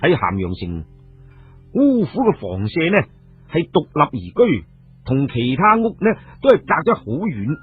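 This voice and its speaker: Cantonese male storyteller, very husky, animated. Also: on the high side, unhurried. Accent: Hong Kong Cantonese. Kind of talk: dramatic audio novel narration. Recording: tinny radio-like sound with music behind. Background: music.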